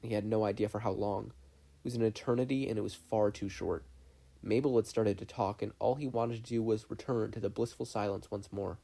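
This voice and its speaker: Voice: medium pitch, steady tone, brisk, creaky, mellow. A narrator reading a fan fiction story aloud as scripted narration.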